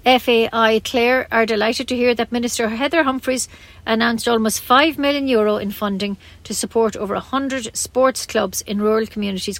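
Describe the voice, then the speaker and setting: A woman's voice: a little high, creaky, fairly bright tone. A woman with an Irish accent reading scripted local news as a community radio newsreader.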